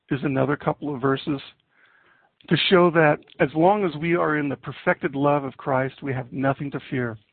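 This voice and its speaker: A middle-aged man preaching a sermon and reading scripture in an earnest, deliberate way with pauses. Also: mid-range voice, gravelly, no room sound. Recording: muffled narrowband, phone-like audio.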